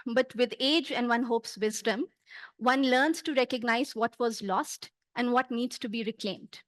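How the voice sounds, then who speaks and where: High-voiced Pakistani woman giving a talk. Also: fairly bright tone, dry recording. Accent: Pakistani English. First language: Punjabi. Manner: measured, earnest, with occasional fillers. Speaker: conference speaker. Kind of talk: prepared conference talk. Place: conference hall.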